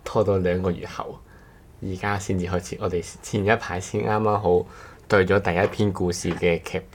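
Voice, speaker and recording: A man with a very low voice and a Cantonese accent co-hosts a casual podcast. He talks in short, halting pieces, often circling back to rephrase. The delivery is conversational and unscripted. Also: flat delivery, slightly rough. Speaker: podcast co-host. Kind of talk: casual chat.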